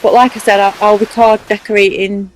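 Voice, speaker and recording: A woman's voice: medium pitch, level tone, brisk, faint music behind, low-quality recording. A woman with a strong Mancunian accent, casually talking through a game building tutorial step by step. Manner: chatty, explaining step by step. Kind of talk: casual commentary over gameplay.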